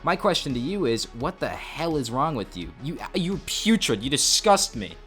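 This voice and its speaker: A man's voice: medium pitch, big pitch swings, moderate pace, fairly bright tone, soft background music. A man telling a story and speaking directly to his audience and others as a YouTube host. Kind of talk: scripted story narration and confrontation.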